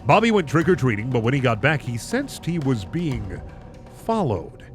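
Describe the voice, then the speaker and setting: A man's voice: mid-range voice, big pitch swings, moderate pace, soft background music. A man hosting a comedic reading of bad fan fiction, narrating with incredulous, sardonic asides. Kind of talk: comedic narration with sarcastic commentary.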